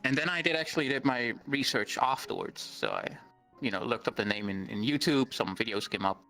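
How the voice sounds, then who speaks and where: A man whose first language is Dutch, a guest on an interview, explaining things earnestly with many pauses and ums. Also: medium pitch, sing-song, gravelly, sharp tone, faint music behind. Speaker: guest streamer. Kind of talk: interview conversation.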